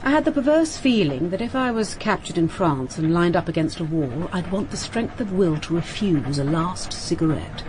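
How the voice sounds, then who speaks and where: An actress playing a shrewd middle-aged English woman detective in a radio drama, with a husky voice and a dry, blunt manner. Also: on the low side, animated, moderate pace, few pauses, noisy background, close to an everyday voice. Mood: dry, curious. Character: shrewd, dry-witted female private detective, ex-wartime agent. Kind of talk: British radio drama acting.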